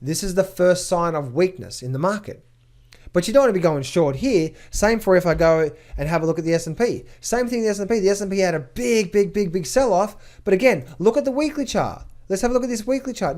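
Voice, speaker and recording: Male trading coach, slightly high voice, emphatic chart commentary full of rhetorical questions and repeated words. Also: a little mellow. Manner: emphatic, fires rhetorical questions and answers them; repeats words in quick bursts. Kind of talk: market update over charts.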